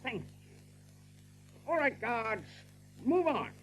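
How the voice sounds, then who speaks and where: An actor playing a rabbit guard captain in an audiobook drama, dutiful and deferential, with brisk, clipped speech. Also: male voice, very high-pitched, sing-song, a little hiss or hum, exaggerated voice. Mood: brisk, bossy.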